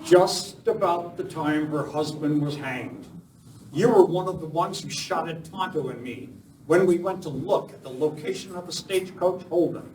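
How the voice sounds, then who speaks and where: A man's voice: on the high side, normal speed, a little mellow, lightly acted. A man playing a righteous masked Western lawman in an amateur live radio play, declaiming his lines. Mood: stern, accusing. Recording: echoey room, distant mic, faint noise.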